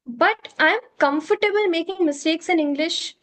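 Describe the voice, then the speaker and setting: Indian woman, Hindi her first language, high-pitched, explains earnestly in an interview, restates each point. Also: moderate pace, fairly bright tone. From South India. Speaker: curriculum developer, interview guest.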